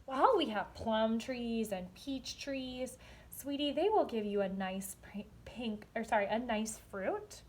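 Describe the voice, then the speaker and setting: A woman's voice: fairly high pitch, lively intonation, slow, a little mellow. A woman reading the part of a polite but dismissive lady shopkeeper in a storybook, explaining firmly. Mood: polite, apologetic.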